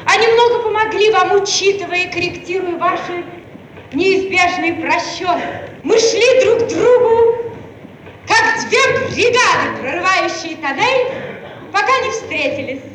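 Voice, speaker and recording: Exalted futuristic woman, radio play; very high, slow, declamatory oratory on a noisy archival recording. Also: lively intonation, a bit bright, reverberant, heavily acted. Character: phosphorescent woman, exalted envoy from the communist future. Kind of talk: Soviet radio play acting.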